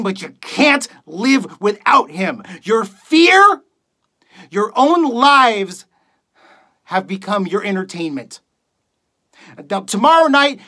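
A stage performer acting a bitter late-night radio host who holds listeners in contempt. The delivery is slow and forceful, full of scorn and accusation, swinging widely in pitch as a dramatic monologue. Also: breathy edge. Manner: scornful, accusatory, building from low muttering to shouting.

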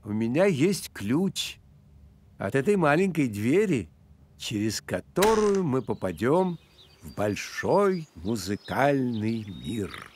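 An actor voicing a courtly grasshopper maestro who guides children through music in a theatrical, measured, explanatory cartoon manner. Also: male voice, medium pitch, sing-song, darkish tone, a little hiss or hum. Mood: explanatory, grand. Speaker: guide and teacher. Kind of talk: animated educational storytelling. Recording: music behind the voice.

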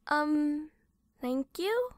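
A meek, anxious young female secretary in an audio drama. Her voice is extremely high, and she speaks slowly and haltingly, apologetic and stammering. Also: lively intonation, full character voice.

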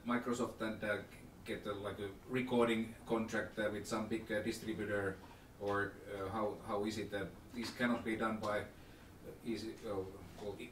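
A man in the audience asking a question, halting with many fillers. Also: on the low side, a little hiss or hum. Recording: roomy, from far off the mic.

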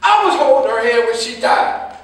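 A man's voice: very high voice, expressive, normal speed. An actor playing an older father, accusing and grief-stricken, in a theatrical staged reading. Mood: furious, grieving. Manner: accusing, forceful, repeats phrases for emphasis. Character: grieving, furious older father disowning his murderer son. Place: indoor hall, staged reading. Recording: echoey hall, distant microphone.

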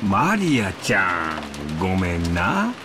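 An actor playing a genial, gentle middle-aged husband with a Kansai Japanese accent and a folksy, soothing manner. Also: male voice, sits low, huge pitch swings, normal speed, music in the background. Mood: gently apologetic. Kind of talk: Japanese visual novel acting.

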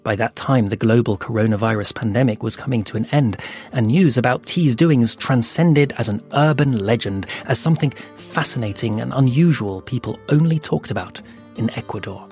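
A man narrating an audio fable in a slow, hushed storytelling manner with dramatic pauses. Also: mid-range voice. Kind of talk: scripted audio fiction narration. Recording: soft music underneath.

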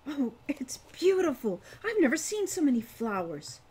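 A woman voicing an excitable, playful twelve-year-old girl in an audiobook, with a gleeful, exclaiming manner. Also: high-pitched, normal speed, pitched above the performer's own voice. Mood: excited.

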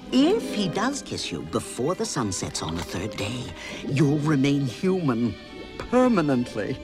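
Cartoon sea witch villain: low, slow, wildly swooping, grandiose and falsely sweet. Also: huge pitch swings, over music, heavily acted. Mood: menacing. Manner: grandiose, mock-sweet, menacing. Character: scheming, theatrical older female sea witch villain. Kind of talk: animated film voice acting.

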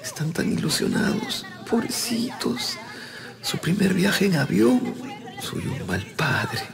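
A man's voice: high-pitched, wildly swooping pitch, slow pace, creaky, music in the background, crowd noise, heavily acted. An actor with a Peruvian accent playing a timid, anxious middle-aged father in a sitcom. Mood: worried. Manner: nervous, fretting to himself. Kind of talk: sitcom acting. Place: indoor set.

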